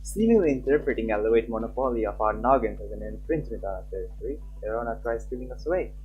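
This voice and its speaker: A man's voice: medium pitch, animated, quick pace, dull audio, faint music behind. A man livestreaming a game, chatting casually and reading visual novel text aloud. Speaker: live streamer.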